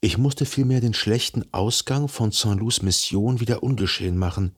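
An actor playing a melancholy, self-deceiving gentleman, a jilted lover narrating a literary radio drama in a measured, formal and carefully articulated way. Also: on the low side, breathy edge. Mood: wistful, brooding. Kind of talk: literary radio play narration, reading a letter.

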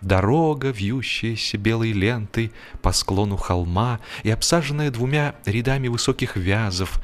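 A man narrating a humorous literary story in a theatrical, measured, mock-solemn way. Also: lowish voice. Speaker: audiobook narrator.

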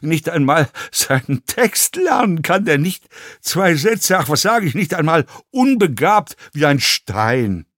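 A man's voice: on the high side, sing-song, a little slow, hardly any pauses, fairly bright tone, no echo, studio quality, voice pushed up, exaggerated voice. Man acting an intrusive, rambling, scornful plane passenger. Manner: rambling, hesitant filler words, needling. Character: intrusive, chatty male plane passenger, mockingly insulting.

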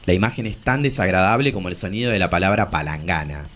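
Man playing a low, swooping weary travesti poet. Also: lively intonation, fast talker, a bit bright. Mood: weary, bitter. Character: weary, bawdy travesti poet in their forties, melancholic. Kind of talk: radio drama dialogue and monologue.

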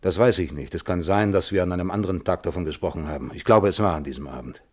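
Low-voiced, thoughtful physicist. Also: male voice, darkish tone. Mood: guarded, recollecting. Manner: measured, reflective, precise. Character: weary, thoughtful male physicist of fifty under questioning. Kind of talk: German radio play, hearing-room testimony.

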